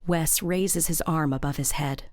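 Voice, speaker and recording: A woman's voice: low voice, a little slow, a little mellow. A woman narrator reading scripted, present-tense narration for an audio drama. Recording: background sound-effect noise.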